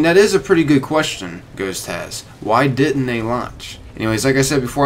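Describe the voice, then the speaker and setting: A man's voice: mid-range voice, slight background noise. A young man hosting a gaming video with informal, conversational commentary.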